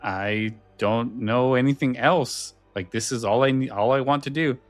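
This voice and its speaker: A man co-hosting a podcast, talking casually and haltingly, repeating phrases as he thinks. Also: mid-range voice, no echo, faint music behind. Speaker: podcast co-host.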